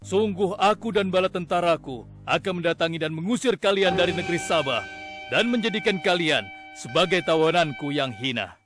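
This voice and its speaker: A man playing a stern, dignified and devout prophet-king in an animated story, with solemn, declamatory, formal phrasing. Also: sits high, brisk. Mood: stern, proud. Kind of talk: dubbed animated religious story acting. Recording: loud music under the voice.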